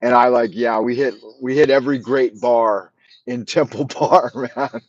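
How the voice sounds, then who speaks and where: A man's voice: mid-range voice, fairly even intonation, unhurried, dry recording, lo-fi sound. A middle-aged man chatting on a casual live stream, rambling with frequent restarts and repeated words, and speaking bluntly. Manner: blunt, swears freely. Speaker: livestream host.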